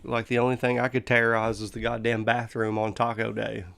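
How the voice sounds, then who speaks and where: Gravelly man swearing casually. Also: mid-range voice, completely flat delivery, a little fast, fairly bright tone, slight background noise. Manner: crude, profane banter. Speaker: stream host. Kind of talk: casual podcast chat.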